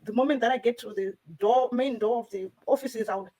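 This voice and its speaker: A woman's voice: on the high side, brisk, dark tone. A woman speaking earnestly and emphatically as a co-host on a discussion panel. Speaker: co-host and business owner. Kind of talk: personal account on a discussion panel.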